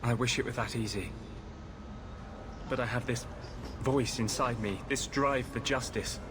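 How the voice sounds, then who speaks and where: Actor as brooding, intense rebel mage over noise. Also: male voice, medium pitch, natural voice. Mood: impassioned, bitter. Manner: earnest, intense, halting confessional delivery. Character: brooding rebel mage healer, embittered, idealistic man. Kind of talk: dramatic video game dialogue. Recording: clearly noisy game audio background.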